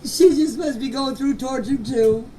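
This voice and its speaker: A woman's voice: high-pitched, unhurried, dark tone, recorded from a distance, a little hiss or hum, rough recording. An older woman speaking informally in voice messages, plain-spoken, earnest and emphatic. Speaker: grandmother of missing children. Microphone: phone voice message.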